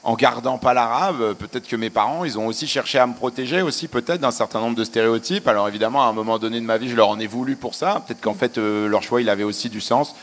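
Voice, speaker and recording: A man's voice: mid-range voice, fast, hardly any pauses, in a large hall. A middle-aged man, a journalist and author, answering interview questions in a reflective, self-deprecating way.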